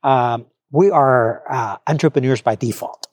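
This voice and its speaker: A man's voice: medium pitch, measured pace, darkish tone, dry recording. A man hosting a podcast in a conversational interview style, speaking emphatically and repeating words for emphasis. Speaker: podcast host.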